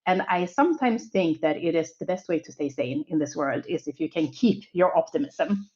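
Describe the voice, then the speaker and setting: A woman's voice: low-pitched, animated, normal speed, warm tone, muffled recording. A woman in her forties from Sweden, speaking English with a Swedish accent, giving an upbeat, optimistic conference talk full of small fillers.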